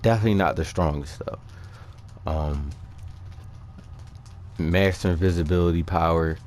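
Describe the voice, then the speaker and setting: Low-voiced man, casual gaming commentary. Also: normal speed, darkish tone, slight background noise. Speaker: gaming video host. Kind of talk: casual game build commentary. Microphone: voice-over on a microphone over game capture.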